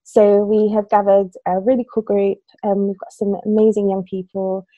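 A woman in her thirties, a youth worker hosting a panel discussion on a video call, gentle and full of ums. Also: medium pitch, flat delivery, normal speed, no echo, narrow, tinny audio. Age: 30s. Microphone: laptop webcam on a video call.

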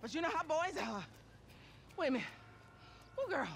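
A woman's voice: high voice, very animated, moderate pace, fairly bright tone, slight background noise, exaggerated voice. A woman playing a sassy, self-righteous middle-aged aunt who is out of breath, in a comic video game scene. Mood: winded, sassy.

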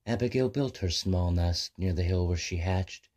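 A man narrating a rhyming children's bedtime story in measured, sing-song verse. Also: low-pitched, a little mellow.

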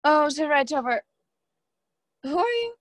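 A woman's voice: sits high, very animated, a little slow, no room sound, clean studio sound. A young woman acting in a radio play as a scheming, haughty society hostess, condescending and taunting. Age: young adult.

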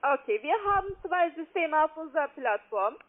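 A woman with a foreign accent giving a halting, formulaic sales pitch as a call centre agent. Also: animated. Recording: tinny narrow-band phone line.

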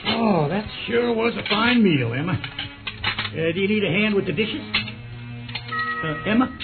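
Rustic farmer, tinny recording. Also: male voice, high-pitched, animated, measured pace. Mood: puzzled. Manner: folksy, rustic. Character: plainspoken, hospitable male poultry farmer. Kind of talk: old radio drama acting. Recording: phone-like old radio recording, loud music.

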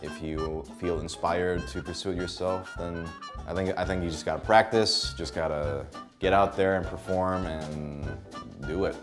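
A young man who works as a voice actor, talking to camera about his craft in a relaxed, animated way and breaking into voices mid-sentence. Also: sits low, normal speed, over music. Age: young adult. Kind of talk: explaining voice acting to camera. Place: indoor studio with plain backdrop.

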